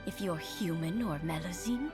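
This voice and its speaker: A woman voicing a kindly, knowing elderly lady in a video game, tender, grateful and deliberate. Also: lowish voice, lightly acted. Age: older. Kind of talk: video game cutscene dialogue. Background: loud music.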